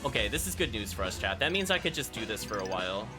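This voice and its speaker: A man's voice: fairly low pitch, sing-song, quick pace. A man live-streaming a video game, thinking aloud and chatting to his viewers as he plays. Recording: music playing behind the voice. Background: video game music.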